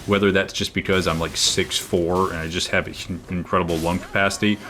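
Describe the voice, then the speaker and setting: A man with a very low, booming voice talks casually over gameplay into his own microphone. He rambles, stops and restarts, and fills gaps with "uh". A faint hiss sits under his voice. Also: flat delivery, a little fast, a bit bright. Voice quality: naturally booming, projecting voice. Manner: rambling, with frequent fillers and restarts. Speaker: gaming video creator. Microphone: own microphone, level turned down.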